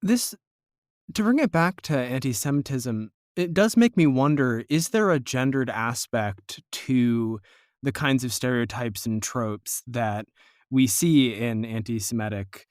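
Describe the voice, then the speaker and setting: A man hosting a podcast interview, asking questions in a reflective, measured way. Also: medium pitch, dry recording, studio quality. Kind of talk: thoughtful interview conversation.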